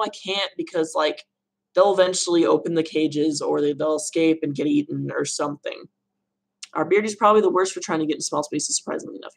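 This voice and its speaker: A young woman with a low voice, hosting a casual live Q&A stream from her room at home, chatty and rambling. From Arizona, USA. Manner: chatty, rambling, laughs often. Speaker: livestream host answering viewer questions.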